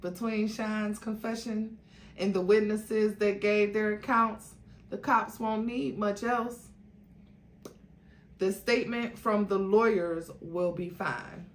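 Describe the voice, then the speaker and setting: Smug, unflappable rich man, played by a female reader, calm and confident, dramatic storybook reading, echoey room. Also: medium pitch, takes it slowly, close to an everyday voice. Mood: confident, reassuring. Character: arrogant, unflappable wealthy man, smooth and reassuring.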